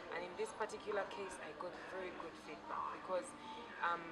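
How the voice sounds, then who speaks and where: A Ugandan woman whose first language is Luganda, an eco artist thoughtfully explaining her work, pausing and restarting phrases. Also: deep voice. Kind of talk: casual explanation to camera. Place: outdoors in a city. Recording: distant mic, loud traffic noise outdoors.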